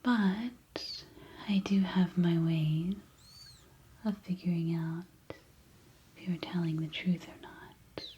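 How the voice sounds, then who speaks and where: Breathy whisper, woman acting as mysterious telepathic cave alien, very unhurried, commanding yet soothing, sci-fi ASMR roleplay, noisy ambience. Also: lowish voice, steady tone, warm tone, lo-fi sound. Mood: mysterious, probing. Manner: slow, deliberate, close-up delivery. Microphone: close binaural microphone.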